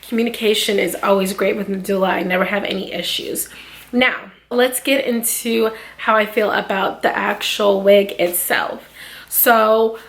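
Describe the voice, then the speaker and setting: Young woman host, casual beauty-vlog chatter, loose slangy delivery, voice a bit under the weather, mid pitch. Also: fairly even intonation, a little slow, a bit bright. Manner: chatty and slangy, addresses viewers as sis and y'all. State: feeling sick, voice slightly off. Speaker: beauty YouTuber reviewing a wig. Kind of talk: casual product-review vlog.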